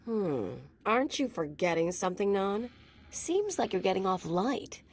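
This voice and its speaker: An actress playing a cool, teasing underworld operator in a video game, sly and self-assured. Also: female voice, mid-range voice, sing-song, measured pace, husky. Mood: teasing, needling.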